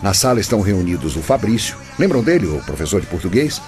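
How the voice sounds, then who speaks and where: A Brazilian man narrates a radio drama in a lowish, very lively voice. He chats straight to the listeners as a scripted storyteller, with light music underneath. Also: quick pace. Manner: chatty, addresses listeners directly.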